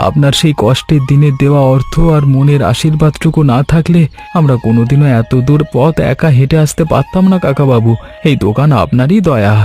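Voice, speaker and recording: Man playing mellow, earnest village shopkeeper. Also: medium pitch, a little fast, soft background music, natural voice. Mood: grateful. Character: honest, grateful village shopkeeper, earnest and respectful. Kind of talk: Bengali animated story voice acting.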